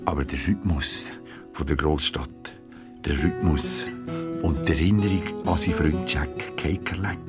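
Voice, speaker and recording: A man with a Swiss accent narrating a scripted children's story, using dramatic pauses. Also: very deep voice, steady tone. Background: plucked guitar music.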